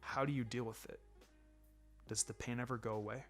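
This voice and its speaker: A man's voice: medium pitch, steady tone, a little fast, fairly bright tone, faint music behind, voice pushed down, close to an everyday voice. A man voicing a brooding, guarded young ninja student in a fan fiction reading, with a terse, reluctant, clenched delivery. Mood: guarded questioning. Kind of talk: fan fiction audiobook character acting.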